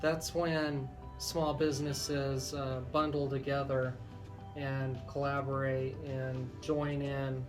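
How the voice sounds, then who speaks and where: A man speaking as a legal expert on a radio talk show, explaining things deliberately with frequent pauses. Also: medium pitch, flat delivery, music in the background.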